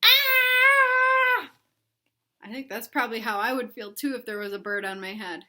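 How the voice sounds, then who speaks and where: A woman's voice: mid-range voice, fast, a bit bright. A woman teacher chatting informally to children as she reads a story aloud.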